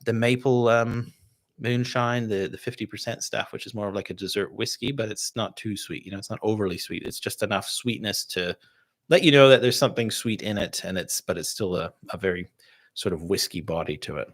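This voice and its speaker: A Canadian man with a North American accent, hosting a casual livestream and answering viewer questions in a relaxed, rambling way. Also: lowish voice, a little fast. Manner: relaxed and rambling, with many fillers and restarts. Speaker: distiller hosting a livestream tasting.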